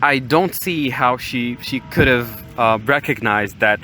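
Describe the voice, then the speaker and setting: A man's voice: mid-range voice, unhurried. A young man from Morocco with an American accent, hosting a podcast and telling casual personal stories in a hesitant way. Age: young adult. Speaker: podcast host. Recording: steady outdoor-like background noise, waves. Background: ocean waves ambience.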